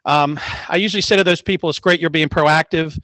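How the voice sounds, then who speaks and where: Fast-talking man pitching to a room. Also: fairly even intonation, a bit bright, no room sound. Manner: joking, brisk sales pitch. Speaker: guest speaker, sales representative. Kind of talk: sales presentation at a team meeting. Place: office meeting room. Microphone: handheld mic.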